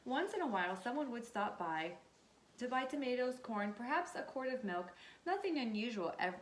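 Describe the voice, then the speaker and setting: A woman's voice: fairly high pitch, very animated, normal speed, slight background noise. A woman teacher reading a children's storybook aloud and checking in with her listeners in an instructive way. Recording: echoey room.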